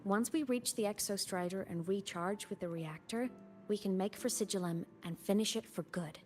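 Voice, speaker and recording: A woman voicing a gentle, affectionate young woman with a playful, childlike side in a video game. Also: medium pitch, normal speed, slight background noise, close to an everyday voice. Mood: reassuring. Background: game music.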